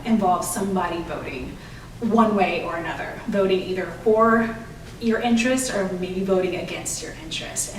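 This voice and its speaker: A woman's voice: medium pitch, far from the mic. A woman speaking as a children's book author in an interview, earnestly explaining and promoting her work. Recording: roomy echo, off-mic, faint noise.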